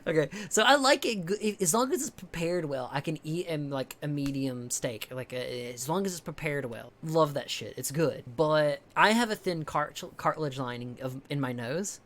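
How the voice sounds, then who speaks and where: Rambling, swearing young streamer. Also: male voice, animated, moderate pace, few pauses, a bit bright. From American South. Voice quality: higher-pitched voice. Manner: rambling, heavy swearing, many restarts and fillers. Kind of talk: casual chat with stream viewers.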